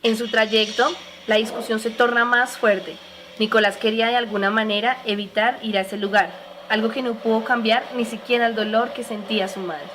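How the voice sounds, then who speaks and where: A woman's voice: on the high side, fairly even intonation, moderate pace, a bit bright, a little hiss or hum, low-quality recording. A woman reading scripted narration for a radio drama.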